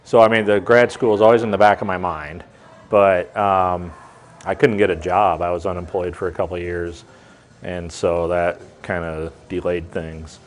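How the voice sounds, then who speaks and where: Very low-voiced man; reflective adult student and manager in a documentary interview, who lets his sentences fade out. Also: fairly even intonation, moderate pace.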